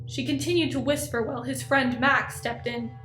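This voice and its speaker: A woman's voice: sits high, steady tone, moderate pace, a bit bright. A woman reading scripted story narration as the narrator of a radio play. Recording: echoey room, faint music.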